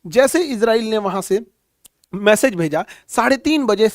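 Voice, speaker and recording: A man's voice: high voice, lively intonation, measured pace, no room sound. Guy teaching current affairs like hes telling a story, repeats stuff for emphasis, really dry sound. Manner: colloquial, storytelling, repeats key phrases for emphasis. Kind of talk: explanatory current-affairs lecture.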